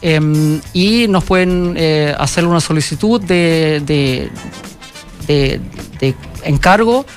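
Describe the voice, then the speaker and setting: A man from Germany with a German accent, a company founder answering questions in a radio interview, halting and full of fillers and restarts. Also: on the high side, soft background music. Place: radio studio.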